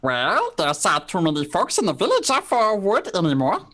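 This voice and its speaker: A woman's voice: fairly low pitch, huge pitch swings, normal speed, fairly bright tone, heavily acted. A woman playing a villager dismissive of old customs in a radio play.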